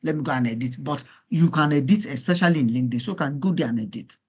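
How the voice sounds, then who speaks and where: Male, Nigerian accent, Yoruba native speaker, high-pitched, informal workshop coaching, encouraging, repetitive. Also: fast, husky. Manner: encouraging, repeats himself, rhetorical questions. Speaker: guest speaker coaching students on LinkedIn profiles.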